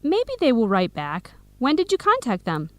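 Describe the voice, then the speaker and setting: An actress playing a teasing, protective young American woman in a radio drama, wry and clearly enunciated. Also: a little high, huge pitch swings, moderate pace, dry recording. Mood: curious. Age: young adult. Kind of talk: scripted radio drama dialogue.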